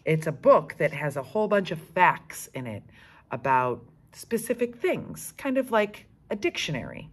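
A middle-aged woman, a teacher reading a children's book aloud in an expressive, deliberate way with explanatory asides. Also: low-pitched, a little hiss or hum. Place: school classroom, indoors.

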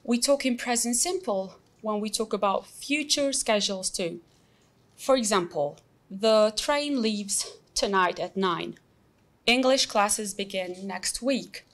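A young woman with an Albanian accent teaching an English lesson, explaining slowly and patiently with many examples. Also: medium pitch. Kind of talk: recorded classroom lesson.

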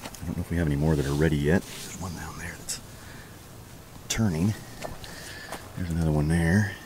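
A man giving a casual walking tour of his backyard garden outdoors, chatty and relaxed as he points things out on a handheld camera. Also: very deep voice, normal speed, slight background noise. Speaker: homestead vlogger. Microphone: handheld camera held while walking.

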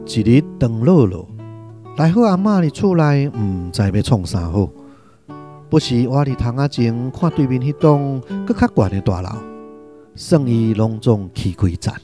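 A man's voice: medium pitch, expressive, measured pace, warm tone, soft background music, lightly acted. A man with a Taiwanese accent narrating a literary radio drama from a script.

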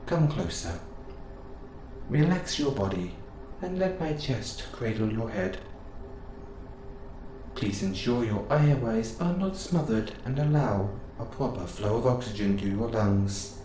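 A man playing a gentle, curious robot assistant who speaks in formal, precise phrases, close and intimate in an audio roleplay. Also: fairly low pitch, animated, measured pace, long pauses. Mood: gently curious. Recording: roomy sound with clear background noise.

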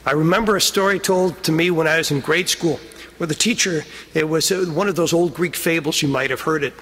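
A middle-aged American man preaches a homily in a high, husky voice. He is earnest and emphatic, stretching key phrases for weight. His words ring with long reverb from a chapel pulpit microphone. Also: brisk, a bit bright. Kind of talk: homily, telling stories and exhorting. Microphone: gooseneck lectern microphone.

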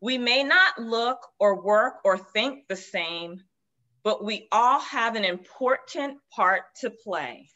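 Slow gentle woman reading a picture book. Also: fairly high pitch, expressive. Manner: slow, gentle read-aloud. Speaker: guest storyteller. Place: room with shelves of children's books. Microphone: video call. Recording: dull lo-fi video call audio.

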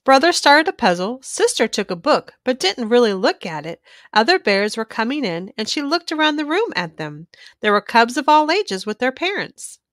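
A woman with a fairly high voice narrates a children's story. Her delivery is very melodic and animated, shaped for young listeners, in a dry, close recording. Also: moderate pace, no room sound. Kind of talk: expressive scripted read-aloud narration.

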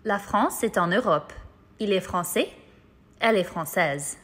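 A woman narrates an instructional language lesson. She speaks in short scripted sentences at a medium pitch, enunciating each carefully. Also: lively intonation, measured pace, a bit bright, a little hiss or hum.

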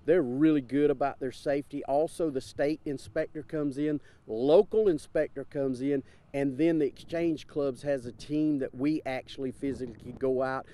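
A man's voice: medium pitch, fairly even intonation, moderate pace, dark tone. A man in his fifties, a community organizer giving an informal interview outdoors. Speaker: fair board vice-president. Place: open field outdoors.

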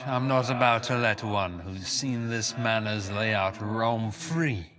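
An actor playing a haughty, scornful, scheming duke in a fantasy video game, speaking in a theatrical, measured, condescending way. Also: male voice, lowish voice, background noise. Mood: condescending, amused. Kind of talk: dramatic video game character acting.

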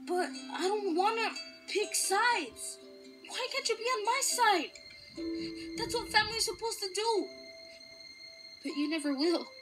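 A woman's voice: very high-pitched, lively intonation, unhurried, long pauses, over music. A woman voicing an earnest, defiant, pleading young boy in a storybook read-along. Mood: hurt, defiant. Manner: pleading, emphatic.

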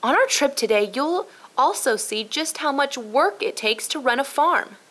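A woman's voice: high-pitched, lively intonation, normal speed, a bit bright, pitched above the performer's own voice. A woman voicing a friendly, helpful shopkeeper who explains things upbeat and instructively to children in a storybook.

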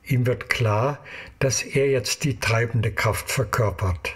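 A man's voice: mid-range voice, slow pace, a bit bright, slightly rough. A man narrating an audio drama in a scripted storytelling style.

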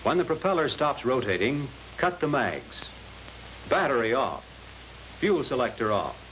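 A man's voice: medium pitch, very animated, unhurried, fairly bright tone. An American man reading a scripted 1940s instructional film narration, addressing the trainee directly in an instructive manner. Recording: noisy old 1940s film soundtrack.

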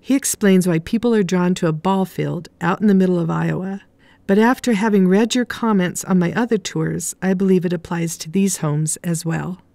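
A woman narrates a scripted tour in a mellow, fairly low voice. The sound is dry, with very little room on it. She speaks as the guide leading viewers through a house. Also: moderate pace, vocal fry, no room sound.

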